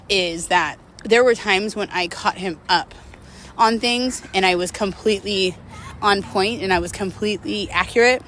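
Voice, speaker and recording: A woman's voice: medium pitch, fairly bright tone, slight background noise. A woman talking casually and confessionally to her viewers, in a candid, rambling, emphatic way with slangy wording. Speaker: host of a personal vlog series.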